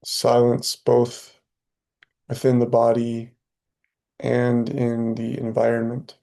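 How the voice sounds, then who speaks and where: A man leading a guided meditation, speaking softly and slowly with long pauses between phrases. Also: medium pitch, completely flat delivery, creaky. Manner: slow, soft, long pauses.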